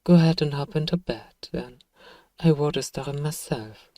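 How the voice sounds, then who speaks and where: A man acts out an evil emperor in a bedtime audio role-play. The voice is dark and mellow, recorded close and dry. He speaks softly and formally, a measured, fatherly ruler who is quietly sure of his own righteousness. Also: on the high side, no echo, lightly acted. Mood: soothing, encouraging. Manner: gentle, measured, formal, persuasive. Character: evil emperor father, soft-spoken, paternal, self-righteous.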